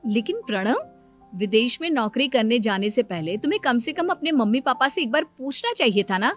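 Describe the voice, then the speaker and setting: A woman's voice: fairly high pitch, expressive, fairly quick, faint music behind, lightly acted. A woman voicing a possessive, domineering mother and sister-in-law in a cartoon family story, with an insistent manner. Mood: scolding. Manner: sharp, insistent, scolding. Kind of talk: Hindi cartoon story dialogue.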